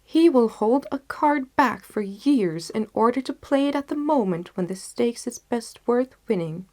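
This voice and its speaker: A woman's voice: fairly high pitch, lively intonation, a little slow, dark tone, voice pushed up. A woman playing an intense, disgusted male detective in an audiobook, speaking in an emphatic, declamatory way. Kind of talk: audiobook character dialogue.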